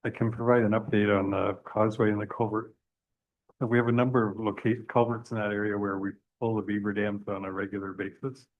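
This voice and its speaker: A man's voice: lowish voice, level tone, brisk. A man from township staff giving a matter-of-fact technical update to council. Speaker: public works staff. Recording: tinny lo-fi meeting audio.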